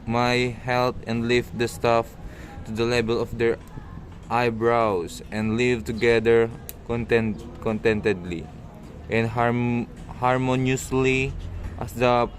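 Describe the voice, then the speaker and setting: A man playing a boastful, fawning village matchmaker in an amateur radio drama, reading haltingly and stumbling over words. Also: mid-range voice, little pitch movement, close to an everyday voice.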